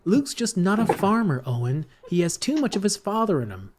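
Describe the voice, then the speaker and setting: A woman playing a calm, kindly farm aunt in an amateur table read of a film script. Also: mid-range voice, normal speed, background noise, close to an everyday voice. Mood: gentle.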